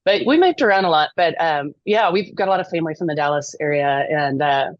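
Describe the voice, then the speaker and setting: A woman's voice: low-pitched, animated, fast, no echo, muffled recording. A middle-aged woman from East Texas with a Texan accent, talking as a podcast guest, chatty and self-deprecating with frequent ums. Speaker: lobbyist guest. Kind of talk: podcast interview.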